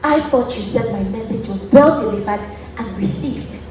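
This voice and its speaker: A woman playing an imperious, lovestruck noble lady in a stage drama, with dramatic, drawn-out, declamatory delivery. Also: fairly high pitch, a little mellow, a little hiss or hum, close to an everyday voice. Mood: indignant, threatening. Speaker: student stage actor. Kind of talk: theatrical stage acting. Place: indoor theatre stage. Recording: echoey theatre from far off, music.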